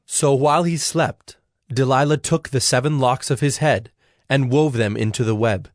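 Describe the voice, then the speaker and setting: A man narrating dramatized scripture in a measured storytelling manner. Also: medium pitch, no room sound. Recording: dry studio with music underneath. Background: music.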